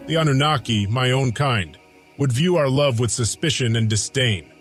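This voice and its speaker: A man's voice: mid-range voice, lightly acted. Man acting as a solemn, lovestruck god over music. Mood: grave, troubled. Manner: grave, formal, measured with weighty pauses. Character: lovestruck, brooding Anunnaki god, solemn and defiant. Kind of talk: dramatic first-person audiodrama monologue. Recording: music behind the voice.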